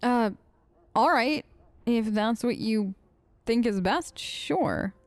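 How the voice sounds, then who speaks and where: Young woman, let's play host, chatty, playful, higher voice, talks back to game characters. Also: lively intonation, takes it slowly, no echo. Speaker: let's play streamer role-playing her character. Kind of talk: casual role-play gameplay commentary.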